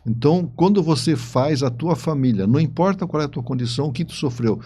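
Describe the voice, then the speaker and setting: A man, middle-aged, with a southern Brazilian accent, telling stories conversationally in a halting way, repeating words as he goes. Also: on the high side, animated, mellow, slightly rough. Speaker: interview guest, writer. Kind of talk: conversational storytelling.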